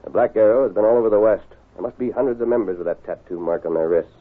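A man playing a stern, commanding masked lawman in an old-time radio western, speaking with firm, deliberate authority. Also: lowish voice, husky. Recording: tinny, hissy old radio broadcast.

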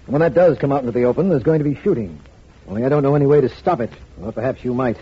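This is an anxious district attorney in a vintage radio play. He rattles off his lines very fast in a husky voice. The recording is dull and lo-fi. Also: medium pitch. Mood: urgent. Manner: urgent, official. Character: urgent, worried male district attorney. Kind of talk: 1940s radio acting.

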